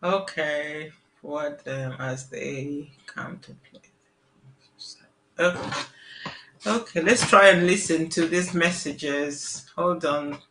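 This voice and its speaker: A man hosts a call-in political show in a bright, forward voice that sits high for a man. He talks slowly and earnestly, circling back to restart his phrases. His voice rings with the echo of a roomy space. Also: a little hiss or hum. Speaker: talk show host.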